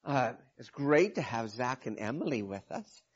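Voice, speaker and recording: A man preaching a sermon in a hoarse, raspy voice from a cold, talking informally with many restarts. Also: mid-range voice, sing-song, moderate pace, lo-fi sound. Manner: informal, rambling with restarts and fillers. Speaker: pastor.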